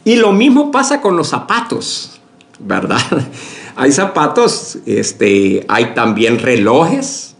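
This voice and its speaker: A pastor preaching a sermon to his congregation, speaking slowly with many fillers and restarts, often asking for agreement. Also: medium pitch, huge pitch swings, gravelly. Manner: addresses the congregation as brothers, asks for agreement.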